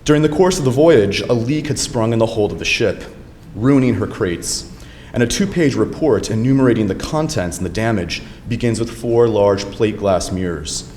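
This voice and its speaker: A young man giving an academic lecture in a friendly, measured way, with occasional fillers. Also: on the low side, a little mellow. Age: young adult. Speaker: lecturer, doctoral candidate. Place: lecture hall. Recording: lecture hall reverb, faint noise.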